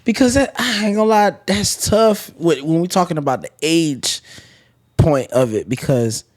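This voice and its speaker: A man's voice: a little high, expressive, moderate pace. A man in his late twenties in a casual chat, talking hesitantly but with animation. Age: 20s. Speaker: podcast co-host.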